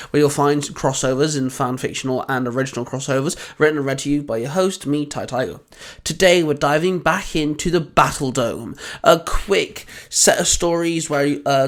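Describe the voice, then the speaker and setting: A man hosting and narrating fan fiction audio stories in a scripted read. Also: a little high.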